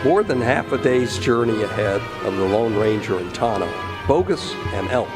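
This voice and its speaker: Low-pitched male radio Western narrator. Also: animated, normal speed. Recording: echoey hall with music.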